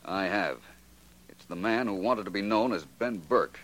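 An actor playing a composed, analytical detective in a 1940s radio drama, with measured, assured delivery. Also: male voice, lowish voice, lively intonation, fairly bright tone. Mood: brisk, observant. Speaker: lead actor in a detective series. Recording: noisy old radio recording with hum.

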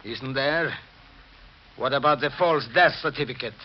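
A man's voice: medium pitch, a little slow, close to an everyday voice. A man acting a sinister, sardonic accomplice in a 1940s radio mystery, theatrical and insistent. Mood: urgent. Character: sinister, sardonic male accomplice who faked his death. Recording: muffled, noisy 1940s radio recording, partly echoing.

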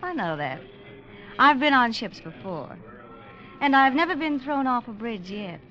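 An actress playing a flirtatious, self-assured ship passenger in a 1940s radio drama, breezy and teasing. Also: female voice, fairly high pitch, big pitch swings, measured pace, faint music behind. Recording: muffled, noisy old radio recording.

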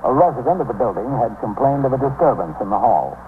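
An actor playing a methodical American police captain, narrating a 1950s radio drama in a measured, official way. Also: male voice, mid-range voice, big pitch swings, slight background noise, lightly acted. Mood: sober, factual. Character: sober, methodical male police precinct captain. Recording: tinny, humming 1950s radio recording.

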